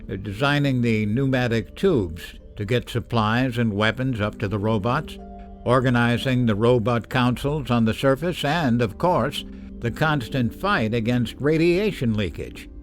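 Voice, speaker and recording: A man's voice: mid-range voice, unhurried, faint music behind, lightly acted. An actor playing an anxious, evasive middle-aged father and war official in a radio drama, speaking hesitantly and with reserve. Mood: weary, reflective.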